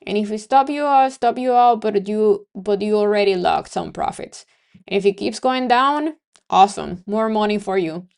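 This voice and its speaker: A woman's voice: medium pitch, moderate pace, creaky, darkish tone, clear, resonant tone. A woman from Venezuela with a Venezuelan Spanish accent, hosting a live trading stream in casual, think-aloud commentary full of ums. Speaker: livestream trading host.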